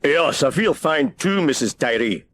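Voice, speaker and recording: A man voicing an excitable, giggly, self-important lighthouse keeper in a children's storybook, with flustered, exclamatory delivery. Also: on the high side, a little slow, pitched above the performer's own voice. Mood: urgent. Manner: exclamatory, flustered, over-eager. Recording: hissy old cassette transfer.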